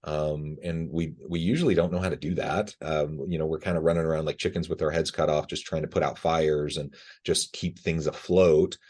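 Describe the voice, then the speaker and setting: A man's voice: extremely low pitch, steady tone, brisk, darkish tone. A man hosting a podcast interview, friendly and affirming, with frequent fillers. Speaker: podcast host.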